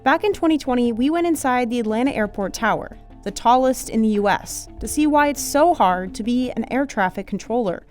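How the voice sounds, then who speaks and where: Higher-voiced American woman narrating over music. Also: expressive, fairly quick, few pauses. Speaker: documentary narrator. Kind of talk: scripted documentary narration.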